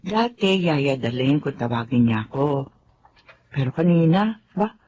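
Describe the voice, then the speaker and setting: Devoted widowed housemaid mother, middle-aged, earnest, lively intonation, dull radio-drama sound. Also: deep voice, a little slow, breathy edge, heavily acted. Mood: concerned, earnest. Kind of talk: Filipino radio drama acting.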